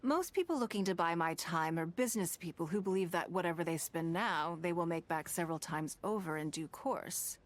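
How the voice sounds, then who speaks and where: A woman voicing a wealthy, shrewd, self-assured noblewoman in a video game, speaking in a measured, formal way with calm, teasing poise. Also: lowish voice, natural voice. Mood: composed, self-assured. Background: game background music.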